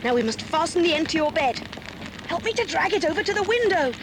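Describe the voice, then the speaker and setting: Woman as a high-pitched, bossy English schoolgirl. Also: expressive, background noise. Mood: urgent, brisk. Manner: brisk, urgent, commanding. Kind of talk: dramatised children's audiobook.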